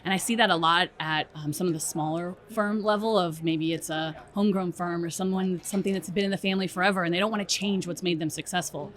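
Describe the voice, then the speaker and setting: A woman with a fairly low voice talks as an interview guest. She explains her points conversationally, like an expert walking a colleague through them, and often tags on a checking "right?". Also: steady tone, quick pace, few pauses. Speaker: interview guest, strategy director at a software company.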